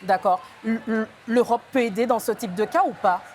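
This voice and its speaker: A woman interviewer asking brisk questions and acknowledging answers with short replies. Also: fairly high pitch, a little mellow, a little hiss or hum.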